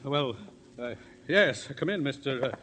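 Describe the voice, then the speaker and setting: A man playing a nervous, frightened client in a 1950s radio drama, halting and hesitant. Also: medium pitch, expressive.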